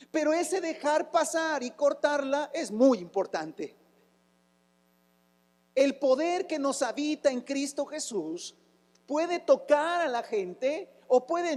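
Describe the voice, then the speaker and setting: A man's voice: very high-pitched, a little mellow, in a large hall. A man, preaching a fervent sermon as a pastor, asking rhetorical questions and breaking into sudden exclamations. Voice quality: raspy, rough voice.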